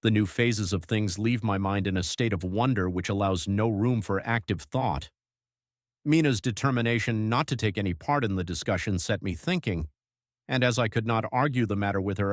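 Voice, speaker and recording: A man playing a grave, devoted young husband who narrates his journal in an audiobook, measured and reflective. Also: lowish voice, no echo, studio quality, natural voice. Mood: reflective, troubled.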